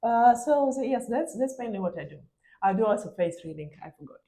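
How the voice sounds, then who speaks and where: A woman's voice: a little high, lively intonation, brisk, dark tone. A woman interviewing a guest in casual conversation, hesitant and searching for words.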